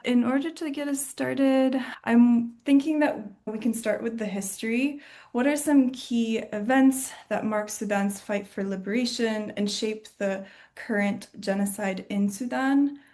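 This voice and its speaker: Gravelly-voiced woman moderator. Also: a little high, measured pace, dark tone. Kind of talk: moderating a virtual panel. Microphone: video call.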